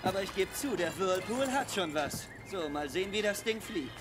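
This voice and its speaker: An actor playing a nervy, sarcastic soldier in a video game who complains and wisecracks. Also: male voice, sits high, animated, moderate pace. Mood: mocking. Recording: loud music over the voice.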